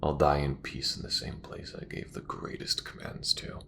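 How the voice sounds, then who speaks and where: An ancient, unrepentant empire commander, with an extremely deep, gravel-filled voice. He speaks gravely and grandly, like a proud ruler at his end. It is sci-fi audio roleplay, with clear noise behind the voice. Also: wildly swooping pitch, fairly bright tone, full character voice. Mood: resigned, weary. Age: older. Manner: grave, measured, grandiose delivery. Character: dying old imperial commander, proud, bitter, unrepentant villain.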